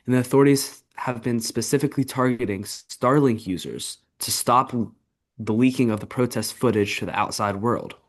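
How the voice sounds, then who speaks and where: A man's voice: mid-range voice, moderate pace. Teen guy reading his podcast segment, stressing key points. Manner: emphatic, repeats key points. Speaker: student podcast co-host. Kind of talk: reading prepared presentation.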